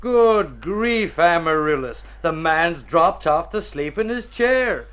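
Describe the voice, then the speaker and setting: A bright, exasperated grumbler. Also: male voice, a little high, animated. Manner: brisk, exasperated, emphatic. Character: exasperated, grumbling male household companion at the table. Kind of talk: Golden Age radio drama acting.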